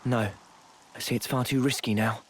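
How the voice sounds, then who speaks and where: A man's voice: medium pitch, steady tone, normal speed, a bit bright, a little hiss or hum, natural voice. A man playing an easygoing, frank young comrade in a video game, casual and direct. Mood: cautious. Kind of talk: video game cutscene acting.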